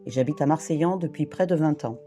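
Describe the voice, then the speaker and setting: A woman in her fifties from the Paris region, reading a personal testimony in a measured, formal way. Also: low voice, dark tone. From France, Île-de-France. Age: 50s. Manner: measured, formal, list-like delivery. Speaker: brief therapist and volunteer rescuer. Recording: guitar music behind.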